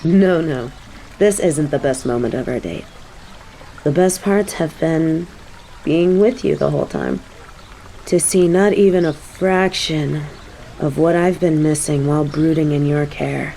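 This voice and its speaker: A woman's voice: low-pitched, measured pace, creaky, mellow, heavy reverb, slight background noise, poor audio. A woman voicing a haughty fallen angel in an audio drama, imperious and pompous with archaic formal diction, yet fond of her demon companion. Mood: fond, soft.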